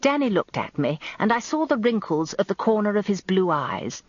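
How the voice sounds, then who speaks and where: An actress playing a prim middle-aged barrister's wife, narrating a radio story in a confiding, arch manner. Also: female voice, medium pitch, big pitch swings, normal speed, no echo. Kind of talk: dramatised scripted storytelling. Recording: slightly dull, lo-fi radio recording, dry.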